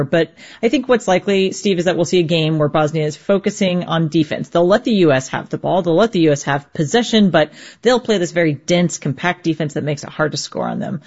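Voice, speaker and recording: A woman's voice: on the low side, a little mellow, highs cut off. Woman sports correspondent, conversational. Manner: conversational, explanatory. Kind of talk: radio news interview.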